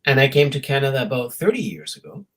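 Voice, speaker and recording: A man's voice: mid-range voice, sing-song, fast talker, a little hiss or hum. A middle-aged man from Peru, a guest in a casual interview, with a dry joking manner.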